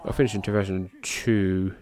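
A middle-aged man, a podcast host giving loose, rambling play-by-play of a match, who swears casually and lifts his voice at the big moves. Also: moderate pace. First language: English. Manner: loose, rambling, swears casually, gets excited at high spots.

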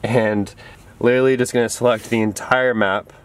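A man's voice: medium pitch, steady tone, normal speed. A young man, hosting a casual car vlog, walking viewers through each step from inside a small car. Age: young adult. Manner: explains steps to viewers, talks to camera. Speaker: car channel host.

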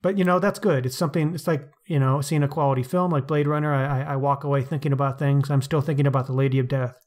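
A man's voice: mid-range voice, fairly even intonation, fast talker, a little mellow. A man co-hosting a podcast, talking casually and wryly about books with slangy asides. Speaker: podcast co-host. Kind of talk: casual book discussion.

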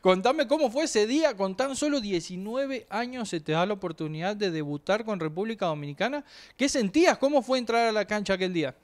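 Male interviewer, South American accent, notably high pitch, conversational sports-chat delivery. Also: expressive, brisk. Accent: South American Spanish. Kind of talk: casual interview questions.

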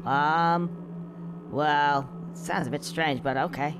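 A man's voice: a little high, fairly even intonation, takes it slowly, background noise. A man voicing a naive, bemused young male squirrel in a video game, hesitant and polite with a touch of cheek. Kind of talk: video game cutscene dialogue.

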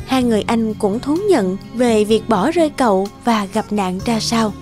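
A woman's voice: fairly high pitch, normal speed, darkish tone. A woman narrating a scripted fairy tale for a cartoon. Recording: music under the voice. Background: background music.

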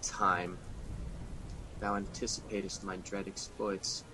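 A man's voice: deep voice, little pitch movement, slow, background noise. A man playing a tormented ambitious king, declaiming Shakespearean verse in an amateur radio drama. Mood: resolute.

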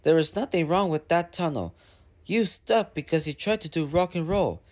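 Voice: mid-range voice, moderate pace, darkish tone, pitched above the performer's own voice, close to an everyday voice. A narrator voicing a stern man who runs the railway, firm and commanding.

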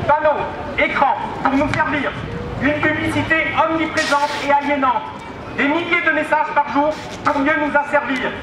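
A man reading protest slogans emphatically at an outdoor street protest. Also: very high voice. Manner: emphatic, chanting. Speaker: protest speaker. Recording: echoey street with traffic noise.

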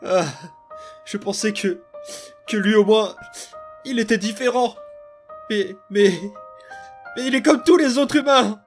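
A man's voice: high-pitched, a little slow, long pauses, faint music behind. A man playing a bitter, wounded demon boy in a dramatic comic dub, brooding and menacing. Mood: hurt, bitter.

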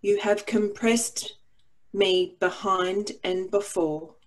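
A woman reading a psalm at a church service, careful and somewhat halting in her delivery. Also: medium pitch, steady tone, low-quality recording. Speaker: psalm reader at an Anglican service. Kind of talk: scripture reading.

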